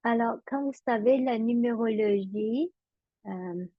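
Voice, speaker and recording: Middle-aged woman from vietnam, coaching webinar, lots of euhs, slow and halting, dry lo-fi computer mic. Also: on the high side, dry recording. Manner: hesitant, many "euh" fillers and restarts. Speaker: numerology and business coach. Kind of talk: casual solo webinar recording.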